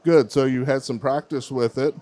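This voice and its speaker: A man's voice: medium pitch, steady tone, normal speed, warm tone. Guy in his 50s hosting a fair contest on a handheld mic, chatty, cheering the kids on. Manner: friendly, encouraging, chatty. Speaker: contest host. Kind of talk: emcee interviewing child contestants. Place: large indoor fair hall.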